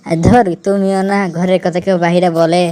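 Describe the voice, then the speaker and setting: A woman's voice: fairly low pitch, little pitch movement, a little fast, dark tone, slight background noise, natural voice. A woman voicing an exasperated, defensive village mother with a Bogura accent in a comic amateur cartoon dub. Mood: fed up. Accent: Bogura, northern Bangladesh. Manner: defensive, argumentative, complaining. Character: exasperated village mother bickering with her husband.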